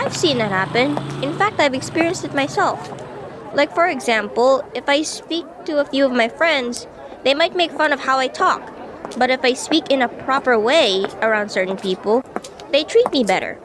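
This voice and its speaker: A woman's voice: high voice, animated, normal speed. A woman playing a skeptical student classmate in an amateur radio drama, talking in a casual, blunt, questioning way. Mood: musing. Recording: noisy street ambience with vehicles. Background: outdoor urban noise, vehicles.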